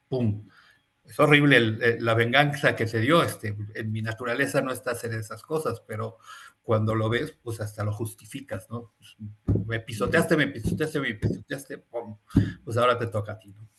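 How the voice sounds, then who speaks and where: A man's voice: medium pitch, steady tone, moderate pace, fairly bright tone. A man hosting a book interview, speaking casually and checking in with tag questions. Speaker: interviewer host.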